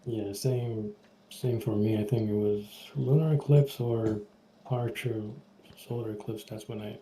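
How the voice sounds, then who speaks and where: Gravelly, dark-voiced hesitant man. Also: on the low side, steady tone, a little hiss or hum, poor audio. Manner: halting, hesitant, many fillers. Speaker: guest on a livestream. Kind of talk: casual chat.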